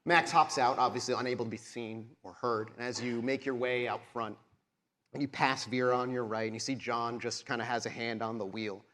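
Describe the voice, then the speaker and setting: A male game master narrates at a live tabletop show. His voice sits in a middle range and he sets each scene with measured, descriptive detail. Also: medium pitch, fairly even intonation, fairly bright tone. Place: live convention show on stage.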